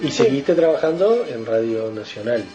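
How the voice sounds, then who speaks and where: A man's voice: medium pitch, animated, moderate pace, dark tone, soft background music. A man with a Uruguayan accent working as a radio interviewer, asking questions in a conversational style. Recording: slightly dull band-limited radio sound.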